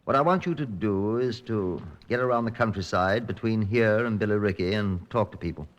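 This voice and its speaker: A composed British Scotland Yard chief inspector, played by a male radio actor, speaking in a low voice. He is clipped, methodical and authoritative, in the manner of an old radio crime drama. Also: normal speed, natural voice. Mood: commanding.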